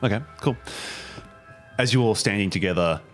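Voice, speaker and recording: A man with a low voice acts as game master, narrating a fantasy tabletop roleplaying scene. Faint music plays behind him. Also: normal speed. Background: faint background music.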